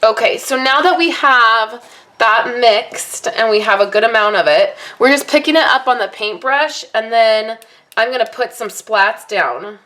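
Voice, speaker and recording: Chatty woman crafter, slightly hoarse voice. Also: fairly high pitch, a little slow, sharp tone. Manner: chatty, rambling, apologetic. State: voice a little hoarse from allergies and little sleep. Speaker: card-making vlogger. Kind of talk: casual crafting tutorial. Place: home craft workspace, indoors.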